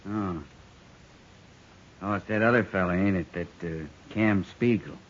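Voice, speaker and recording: A man's voice: low-pitched, a little slow, a little hiss or hum. Folksy frontier wagon man. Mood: uneasy. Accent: American Western drawl. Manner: folksy, plainspoken. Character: plainspoken, indignant, honest frontier freight wagon man. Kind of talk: 1950s radio drama acting. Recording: muffled 1950s radio recording.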